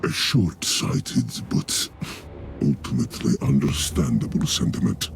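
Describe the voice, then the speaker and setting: A gravel-voiced alien torturer-genius, coldly arrogant. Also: male voice, sing-song, a little hiss or hum, exaggerated voice. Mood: scheming. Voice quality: deep, gravelly and drawn-out. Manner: condescending, grandiose. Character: sinister male alien torturer-scientist, arrogant and cold. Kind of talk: video game cutscene voice acting.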